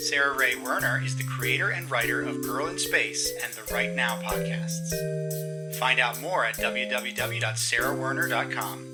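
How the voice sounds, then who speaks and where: A male announcer reads scripted show credits. His voice sits at a medium pitch, with loud music playing behind him. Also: normal speed.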